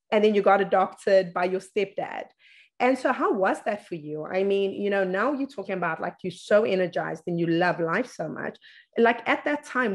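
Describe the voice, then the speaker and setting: A woman in her thirties with a mid-pitched voice, hosting an interview over a video call from her office. She asks long, encouraging questions. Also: a little mellow. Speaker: host. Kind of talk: casual interview. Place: office, indoors.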